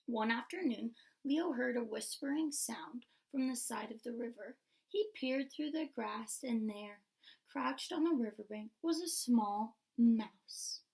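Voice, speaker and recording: A woman reading a children's story aloud as an expressive storyteller. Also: fairly high pitch, unhurried, creaky, poor audio.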